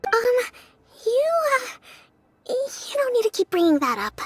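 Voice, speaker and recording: A woman playing a gentle, composed young female leader in a fantasy game, thoughtful and polite in a measured, reassuring manner. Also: extremely high pitch, very animated, no room sound, exaggerated voice. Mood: curious, unsure. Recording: faint background ambience and noise.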